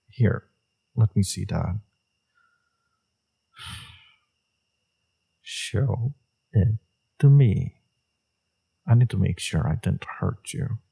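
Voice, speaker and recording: A man playing a flirtatious, teasing villain with a secretly tender side in an intimate romantic audio roleplay, his voice husky and murmured. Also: mellow, heavily acted. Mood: concerned, chiding. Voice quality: low, husky, gravelly, breathy. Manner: slow, teasing, murmured delivery.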